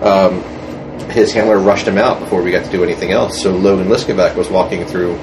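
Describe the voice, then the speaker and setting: A man's voice: low-pitched, fairly even intonation, a little fast. A man guest telling behind-the-scenes stories casually, in a detailed, explanatory way. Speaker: podcast guest, fan film maker. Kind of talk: casual interview storytelling. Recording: distant mic in noisy room.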